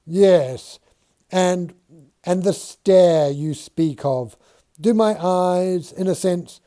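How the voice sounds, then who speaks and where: A man's voice: high voice, very slow, dark tone, voice pushed up. A man voicing a smirking, skeptical surveyor who talks in a dry, needling, old-fashioned formal way.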